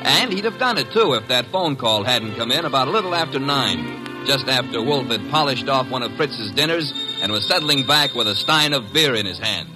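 An actor playing a cocky, wisecracking detective's assistant, slangy and hard-boiled, in an old-time radio drama. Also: male voice, medium pitch, lively intonation, normal speed, loud music behind the voice, close to an everyday voice, poor audio. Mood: wry narrating.

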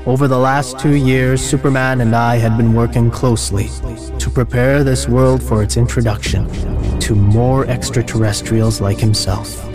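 A man's voice: medium pitch, level tone, normal speed, over music, natural voice. An actor playing a scheming billionaire villain with a self-assured, showman-like way of speechmaking in an audio drama. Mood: grandiose. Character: smooth scheming billionaire villain addressing a crowd.

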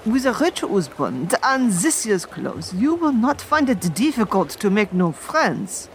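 A woman's voice: mid-range voice, sing-song, a little slow, slight background noise. A woman playing a worldly, practical French friend with a French accent in an audio drama. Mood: teasing, practical.

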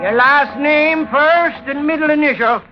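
An actor playing an officious police clerk in 1940s radio style, putting questions in a clipped, formulaic way. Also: male voice, very high voice, a little slow, music in the background. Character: officious police clerk taking down a suspect's details. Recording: muffled, noisy old radio recording.

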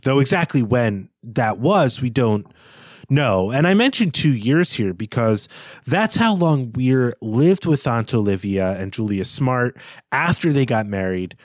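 A man's voice: mid-range voice, very animated, measured pace, no room sound, studio quality. A man co-hosting a literary discussion podcast, talking analytically in bookish phrasing. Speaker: podcast co-host.

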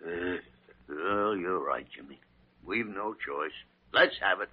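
Low, crusty old radio doctor. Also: male voice, slow pace. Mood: worried, grudging. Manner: blustery, exasperated. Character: crusty, gruff, devoted old senior male doctor. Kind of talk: old-time radio drama acting. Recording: muffled old radio recording.

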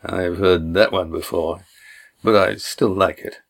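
A man voicing a calm, quiet male sailor. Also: sits low, measured pace, gravelly, full character voice.

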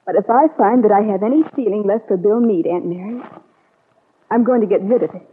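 A woman's voice: mid-range voice, brisk, a little hiss or hum, close to an everyday voice. A woman playing a hurt, disillusioned young niece in an old-time radio soap opera. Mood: bitter, resolute. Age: young adult. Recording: tinny vintage radio recording.

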